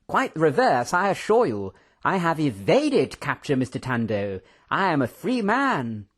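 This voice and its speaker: Storybook professor: high, swooping voice, pompous, eager, talkative, genteel old-fashioned phrasing. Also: male voice, wildly swooping pitch, measured pace, a bit bright, breathy edge, heavily acted. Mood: excited, delighted.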